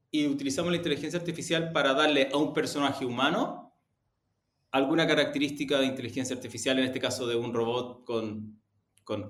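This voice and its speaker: A man giving conversational interview answers, explaining things in a hesitant way as he searches for words. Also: on the high side. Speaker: media executive, interview guest. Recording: roomy, echoey sound.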